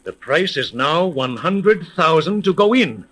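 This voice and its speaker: An actor playing an anxious, demanding gentleman client in a 1930s radio serial, formal and peevish. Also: on the high side, very animated, unhurried, heavily acted. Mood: uneasy.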